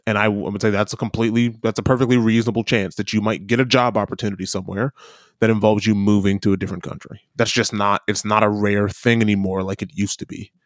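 A man's voice: on the low side, flat delivery, quick pace, dry recording, clean studio sound. A man in his thirties with a Midwestern American accent, chatting casually and arguing his point with easy laughs. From United States. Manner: chatty, argumentative, laughs easily. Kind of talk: casual chat.

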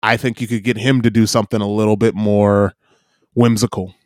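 A man's voice: on the low side, flat delivery, a little fast, darkish tone, no echo, clean studio sound. A man co-hosting a podcast in casual chat, rambling and hedging with frequent laughs. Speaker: podcast co-host.

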